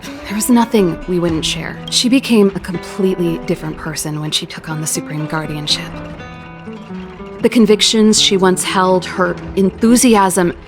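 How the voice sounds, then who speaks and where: Woman acting wry young scientist over loud music. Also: fairly low pitch, moderate pace, natural voice. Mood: wistful, troubled. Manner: reflective, wry, articulate storytelling. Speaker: voice actor in a video game. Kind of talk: scripted dramatic game dialogue. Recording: loud background music under the voice. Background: game cutscene music.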